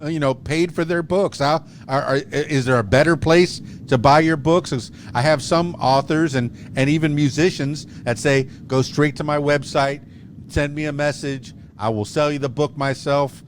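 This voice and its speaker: A man's voice: fairly high pitch, steady tone, normal speed, a little hiss or hum. Rambling, jokey man hosting a podcast. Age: 50s. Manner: rambling, jokey, with many restarts. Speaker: podcast host. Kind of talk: casual interview chat.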